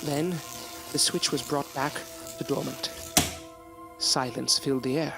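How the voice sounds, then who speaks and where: An actor playing an earnest, devoted young medical assistant, speaking formally and reverently in a Gothic audio drama. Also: male voice, mid-range voice, fairly even intonation, a little slow, gravelly, over loud music. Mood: solemn awe. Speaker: actor narrating and playing a character.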